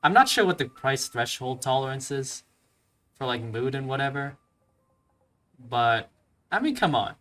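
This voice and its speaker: A man's voice: mid-range voice, very animated, measured pace, long pauses, fairly bright tone. A man giving casual, slangy let's play commentary, thinking aloud as he plays.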